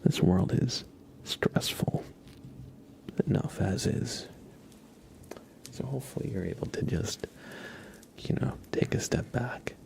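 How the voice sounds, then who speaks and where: A man softly whispering in a breathy, reassuring way, chatting casually with viewers on an ASMR livestream. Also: mid-range voice, takes it slowly, long pauses. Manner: soft-spoken, reassuring. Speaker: ASMR live streamer.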